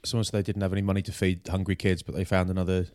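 A man co-hosting a casual sports podcast, trading dry, deadpan teasing banter. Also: deep voice, racing, no echo. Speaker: podcast co-host.

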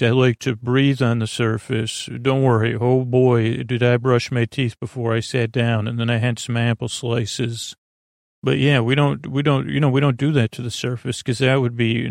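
A man with a creaky, lulling voice hosting a sleep podcast, meandering through halting, tangential bedtime talk. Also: medium pitch, no echo, studio quality. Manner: meandering, tangential, halting, self-deprecating nonsense. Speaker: podcast host. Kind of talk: rambling bedtime monologue to help listeners sleep.

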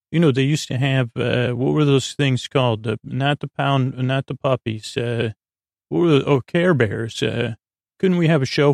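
A man hosting a bedtime podcast, talking softly in long, meandering tangents full of restarts and fillers, with a creaky voice. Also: mid-range voice, no echo, studio quality. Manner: meandering, self-interrupting, soft and unhurried. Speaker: sleep podcast host. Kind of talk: rambling bedtime podcast talk.

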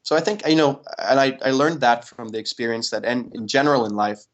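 A young man from Denmark answering interview questions in a relaxed way, with many fillers and restarts. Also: medium pitch, fairly quick, dull audio. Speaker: interview guest, paddle athlete.

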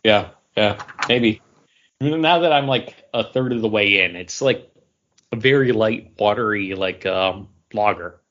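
A man's voice: fairly low pitch, a little slow, fairly bright tone. Meandering man on a lo-fi podcast call. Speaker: podcast co-host. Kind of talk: casual podcast chat. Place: remote voice call. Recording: dull lo-fi internet call audio.

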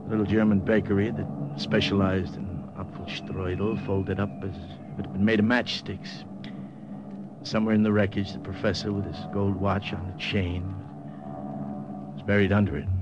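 An actor playing a loyal, shaken wartime agent in an old radio drama, with an intense, plain-spoken delivery. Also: male voice, fairly low pitch, level tone, normal speed, dull audio, noisy background, close to an everyday voice. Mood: somber. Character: loyal, shaken American wartime agent recounting his mission. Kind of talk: 1940s-50s radio drama acting and narration.

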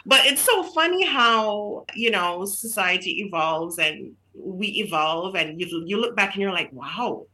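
A woman's voice: medium pitch, lively intonation, unhurried, hardly any pauses. A middle-aged woman from Jamaica, a guest author in a casual podcast interview, telling stories in a chatty way with many fillers and restarts. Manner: chatty, warm storytelling with frequent fillers and restarts.

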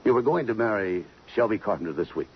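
A man's voice: lowish voice, animated, fast talker, husky. An actor playing a tough American police lieutenant in 1950s radio drama, blunt and pressing as he questions people. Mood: probing. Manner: blunt, pressing interrogation, slangy. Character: tough, persistent male police detective lieutenant. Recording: muffled, hissy 1950s radio broadcast.

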